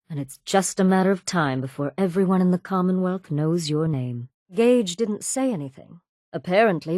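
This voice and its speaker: Woman acting as female raider gang leader, video game, lowish voice, cold, sardonic, menacing, dry studio sound. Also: warm tone, no echo, close to an everyday voice. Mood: cool, challenging, then scornful. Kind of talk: video game character dialogue.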